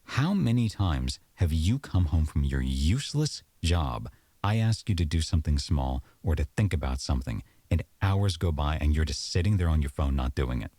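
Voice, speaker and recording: A man's voice: rumbling low, very animated, moderate pace, warm tone, no echo, heavily acted. A man playing an aggrieved, sarcastic boyfriend arguing at home in an audio roleplay, accusatory and exasperated. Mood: indignant. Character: aggrieved, sarcastic boyfriend in a heated domestic argument.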